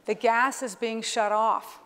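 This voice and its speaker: High-voiced woman presenting in a hall. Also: level tone, slow pace, fairly bright tone. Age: middle-aged. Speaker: corporate executive presenting a keynote demonstration. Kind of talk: live stage presentation, explanatory commentary. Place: large conference stage, indoors. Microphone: clip-on lapel microphone. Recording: reverberant hall sound through PA.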